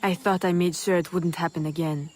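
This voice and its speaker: Actress as a low-voiced, composed woman assassin. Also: fairly even intonation, darkish tone, natural voice. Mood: composed, resolute. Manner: measured, self-assured. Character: composed, determined female assassin of 18th-century colonial Louisiana. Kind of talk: video game character acting.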